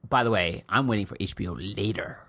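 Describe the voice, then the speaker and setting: A man hosting a podcast in casual chat, talking loud and close to the mic with a booming voice and teasing asides. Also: slightly rough, no room sound. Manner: chatty and teasing, quick asides. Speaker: podcast host. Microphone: close podcast mic, pops.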